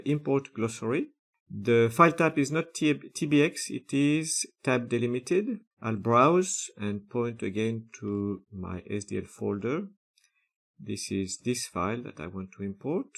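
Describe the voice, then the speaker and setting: A man, methodically narrating a screen-recorded software tutorial step by step, with frequent filler sounds. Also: mid-range voice, measured pace.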